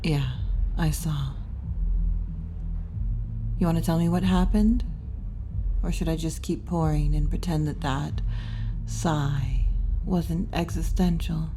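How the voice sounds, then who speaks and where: A woman's voice: deep voice, takes it slowly, pauses often, music in the background. A woman voicing a teasing, kindly tomboy wolf girl bartender in a laid-back, wry, intimate ASMR roleplay, often dropping toward a whisper. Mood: wry, sympathetic. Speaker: roleplay performer. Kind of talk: ASMR roleplay, addressing the listener.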